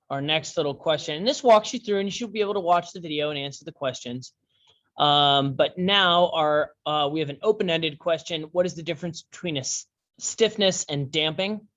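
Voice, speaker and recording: A man teaching a class over a screen share, talking in a halting way with many fillers and restarts. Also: fairly high pitch, a bit bright. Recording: dull lo-fi sound.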